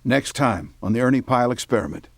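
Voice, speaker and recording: A man's voice: medium pitch, expressive, quick pace, creaky, soft background music. A man hosting and announcing an audio drama series in a scripted radio narration style. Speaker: announcer and host.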